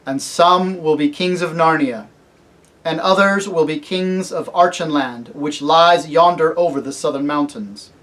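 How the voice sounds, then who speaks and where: A great storybook lion, as a male reader plays him speaks slowly and with royal gravity. The phrasing is formal and commanding, each clause measured out at a raised, projected pitch. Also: fairly bright tone. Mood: solemn, granting. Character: solemn, kingly great talking lion. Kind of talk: storybook character reading.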